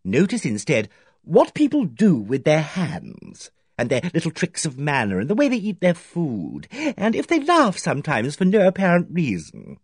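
A man reading aloud, voicing an effusive, fussy older male village gossip who talks in a theatrical, drawn-out way. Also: fairly high pitch, huge pitch swings, slightly rough, dry recording, clean studio sound, pitched above the performer's own voice, heavily acted. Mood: sly.

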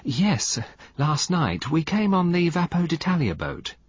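A small, mousy wife voiced by a male narrator from a storybook, diffident yet chirpy and eager to agree. The voice is lifted and very lilting, with a dry, slightly husky sound. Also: on the high side, very animated, measured pace, no room sound, highs cut off, pitched above the performer's own voice, exaggerated voice. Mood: polite, grateful. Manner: chirpy, timid, polite.

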